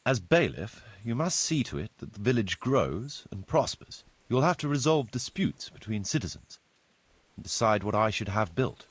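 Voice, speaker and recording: Video game role: practical, courteous village steward, husky and measured, recorded close and dry. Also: male voice, fairly low pitch, very animated, no echo. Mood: instructive, firm. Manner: measured, formal, instructive. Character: practical medieval village steward, courteous, businesslike adult man. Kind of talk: video game cutscene dialogue, explanatory.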